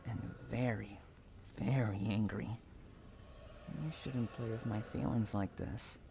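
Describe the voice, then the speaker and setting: A man's voice: lowish voice, slow pace, gravelly, noisy background. A man acting an obsessive, unhinged stalker in an audio roleplay, cooing pet names and drawing out words between tenderness and anguish. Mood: disappointed, possessive. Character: obsessive, unhinged male stalker lover, sweetly possessive and menacing. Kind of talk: dramatic roleplay audio monologue.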